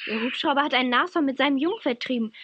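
A woman's voice: sits high, quick pace, fairly bright tone. An actress playing a curious, polite young woman on safari in a children's radio play. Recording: dull old tape, faint noise.

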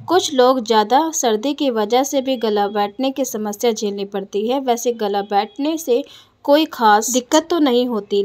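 Woman reading home remedy tips. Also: a little high. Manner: plain, steady reading of written text. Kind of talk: reading tips aloud over text slides.

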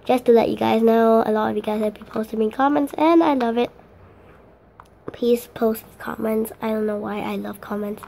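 A teenage girl with a hoarse voice, chatting casually through an unboxing vlog with lots of fillers and restarts. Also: a little high, steady tone, normal speed, warm tone. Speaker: vlogger.

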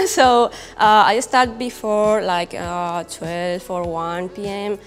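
A young woman with a Spanish accent talks slowly in a casual interview, often searching for her next word. Her pitch is average for a woman. The room leaves a long reverb on her voice, and music plays behind her. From Spain. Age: young adult. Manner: halting, searching for words, giggly. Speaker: comic creator being interviewed. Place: indoor brick-walled studio set.

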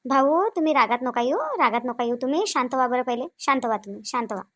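A sensible, protective village sister-in-law, played by a woman, high in pitch. She reasons insistently in a rural Vidarbha Marathi accent, as in a homemade family drama. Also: a little fast. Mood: calming.

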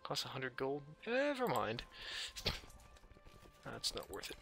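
A man commentates a video game over clearly audible music. His medium-pitched voice is creaky and a little scratchy. He talks casually and dryly, as if thinking aloud while he plays. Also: wildly swooping pitch, slow, fairly bright tone. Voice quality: slightly scratchy. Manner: dry, offhand, musing aloud to himself. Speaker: gaming video host. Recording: music under the voice.

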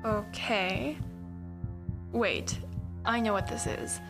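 A woman's voice: medium pitch, fairly even intonation, slow, over music. A woman playing a bubbly, chatty teenage girl in a radio horror drama, with girlish casual delivery. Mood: nervous.

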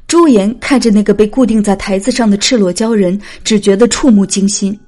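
A woman's voice: mid-range voice, fairly quick, dark tone. A woman reading a dramatic scripted story as an audiobook narrator.

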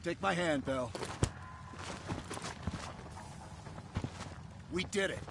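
A man playing a hardened, resolute covert operations leader in a video game, speaking deliberately and gravely. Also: fairly high pitch, heavy background noise. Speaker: game character voice actor. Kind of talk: cinematic video game acting. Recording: sound effects and ambience behind.

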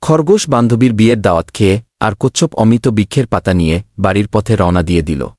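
A man's voice: on the low side, sing-song, fast talker, a little mellow, no echo. A man narrating a children's cartoon fable in a scripted storytelling style.

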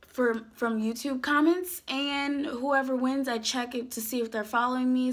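A young woman talks straight to her camera in a small room, chatty and animated. Her slightly high voice is worn and sore, as if she is losing it. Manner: chatty, animated, gestures a lot. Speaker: YouTuber. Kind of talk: casual vlog talk to camera. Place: small indoor room, dark backdrop.

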